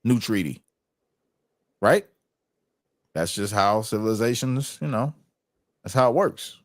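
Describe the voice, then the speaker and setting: American guy on a livestream, lowish voice, street slang, super dry close sound. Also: lively intonation, measured pace, no echo. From United States. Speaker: livestream host. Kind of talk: casual livestream political chat.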